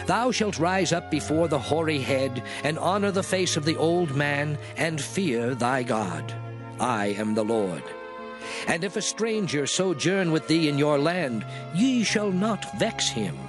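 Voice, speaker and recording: An actor playing God as a solemn lawgiver in a dramatized scripture reading, speaking in a measured, declamatory manner. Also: male voice, on the high side, very animated, over music. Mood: solemn, commanding.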